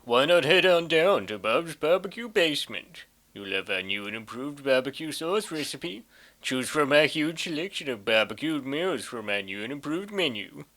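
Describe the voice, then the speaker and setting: A man's voice: fairly high pitch, big pitch swings, moderate pace, bright, forceful tone, heavily acted. A man playing a peppy restaurant commercial announcer in a comic dub. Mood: enthusiastic. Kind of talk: TV advert pitch.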